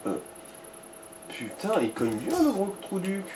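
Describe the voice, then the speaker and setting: A man's voice: high voice, huge pitch swings, takes it slowly, noisy background. A man giving casual, crude advice and commentary alongside someone playing a game. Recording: roomy echo.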